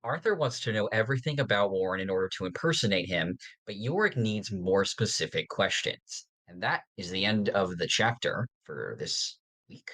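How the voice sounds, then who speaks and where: A man's voice: lowish voice, animated, normal speed, few pauses, fairly bright tone, poor audio. A man co-hosting a podcast in a casual, conversational style. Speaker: podcast co-host.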